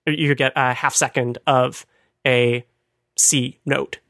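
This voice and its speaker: A man's voice: mid-range voice, level tone, takes it slowly, gravelly, pushed and bright, no echo, clean studio sound. A man hosting a podcast, explaining things in a casual, chatty way and laughing at his own asides. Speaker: podcast host.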